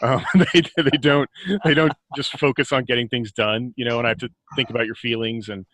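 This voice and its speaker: A man's voice: medium pitch, steady tone, a little fast, a bit bright, dry recording. A middle-aged man talking casually and reflectively as an author on a podcast, often revising his sentences as he goes. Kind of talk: casual reflective conversation about writing and life.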